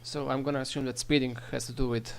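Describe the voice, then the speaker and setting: A man's voice: medium pitch, little pitch movement, fast talker, vocal fry. Laid-back man playing a cop. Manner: laid-back, filler-heavy talker. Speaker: roleplay police constable. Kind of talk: in-character roleplay interrogation. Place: online game voice chat.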